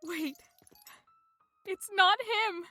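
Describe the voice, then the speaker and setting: A woman playing a terrified, broken prisoner in an audio drama, halting and fearful. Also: extremely high pitch, pushed and bright, soft background music, full character voice. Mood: frightened. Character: frightened, broken female prisoner of a cult.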